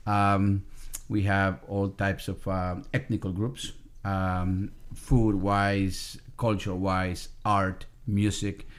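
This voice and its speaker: A man with a low voice and a Salvadoran accent speaks as a guest in a relaxed podcast interview. His English comes as a second language. He pauses often and fills the gaps with "um" and "uh". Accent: Salvadoran Spanish. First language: Spanish. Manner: halting, many fillers and restarts. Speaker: restaurant owner guest. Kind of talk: casual interview.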